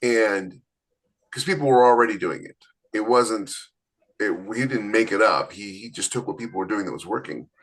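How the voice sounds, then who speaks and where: A man's voice: lowish voice, moderate pace, a bit bright, poor audio. A man co-hosting a casual writers' podcast, talking emphatically and giving firm advice. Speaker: podcast co-host. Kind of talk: casual panel chat.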